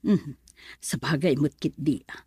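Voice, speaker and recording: A woman's voice: low voice, measured pace, a little mellow, breathy edge, no room sound, clean studio sound, full character voice. A woman acting a concerned, middle-aged auntie who gives motherly advice in a radio drama. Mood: thoughtful. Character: concerned, motherly auntie advising her niece.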